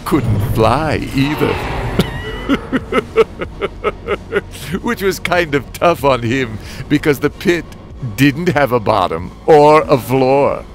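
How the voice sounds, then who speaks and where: Guy as burnt-out doomed driver dad telling his comic story, brooding, slow but expressive, music under. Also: mid-range voice. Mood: wry, intense. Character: world-weary, morbid fatalistic father, damned stunt driver. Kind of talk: scripted first-person dramatic narration.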